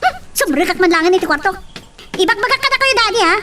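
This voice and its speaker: Casting: actress as spoiled, whiny daughter for radio drama, extremely high and animated, slightly off-mic. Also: female voice, fast, heavily acted. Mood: whining. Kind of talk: Ilocano audio drama. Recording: distant mic, noisy background.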